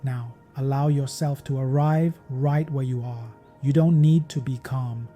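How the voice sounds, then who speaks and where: A man narrating a guided meditation in a gentle, measured, soothing manner, as a podcast host leading listeners. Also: mid-range voice, steady tone. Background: meditation music.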